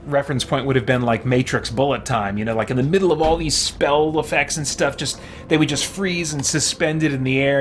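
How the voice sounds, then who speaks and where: A man's voice: on the high side, fairly bright tone, slight background noise. A man livestreaming a video game, chatting casually and thinking aloud in a rambling way. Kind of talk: casual chat while playing a video game.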